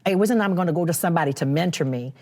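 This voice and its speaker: A woman's voice: fairly low pitch, very fast, a little mellow. A middle-aged woman from Barbados, a storyteller in casual conversation, emphatic and fond of rhetorical tag questions. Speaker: guest storyteller.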